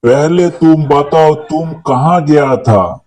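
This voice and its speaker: A man's voice: on the high side, slow pace. A man voicing an exasperated, sarcastic schoolteacher in a comedy cartoon, quizzing pupils with a mocking drawl. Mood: stern.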